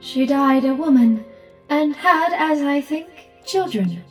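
An actress playing a composed, commanding spirit guide with a measured manner in an audio play. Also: female voice, high voice, expressive, faint music behind, rough recording.

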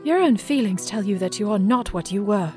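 An actress playing a sorrowful, resolute young woman with an earnest, deliberate delivery in an audio play. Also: medium pitch, animated, warm tone, soft background music, natural voice. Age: young adult.

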